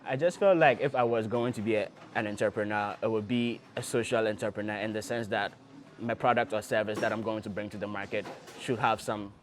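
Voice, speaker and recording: Brief: young male founder from Ghana, low voice, easygoing, faint music behind. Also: a little fast. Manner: relaxed, many ums, easygoing. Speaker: startup founder, guest. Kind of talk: casual interview answers. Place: restaurant table, by the sea.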